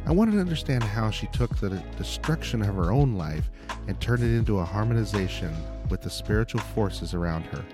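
A man hosting a podcast interview, reading a scripted opening and then chatting casually in an animated way with quick tangents. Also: on the low side, over music. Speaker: podcast host.

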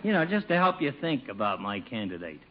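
An actor playing a chummy, pushy small-town barber in an old radio comedy, slangy, breezy and cajoling. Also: male voice, mid-range voice, sing-song, fairly quick. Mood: coaxing. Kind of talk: 1940s-50s radio comedy acting. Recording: dull vintage radio broadcast recording.

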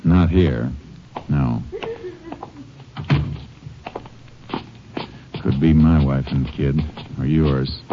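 An actor playing a terse, methodical Texas Ranger, in a 1940s radio drama. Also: male voice, extremely low pitch, steady tone, slow pace, exaggerated voice. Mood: somber. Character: steady male Texas Ranger investigator. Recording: dull old radio broadcast with faint hiss.

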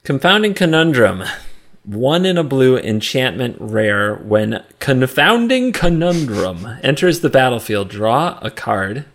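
A man's voice: medium pitch, sing-song, takes it slowly, a bit bright. A man co-hosting a casual discussion show about a card game, talking in a wry, joking way. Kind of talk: casual chat and game commentary.

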